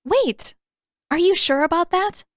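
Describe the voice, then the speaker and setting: A woman's voice: very high voice, measured pace, no echo, voice pushed up. Guarded, plain-spoken teenage heroine for fantasy audiobook female narrator acting, exasperated edge, very dry room.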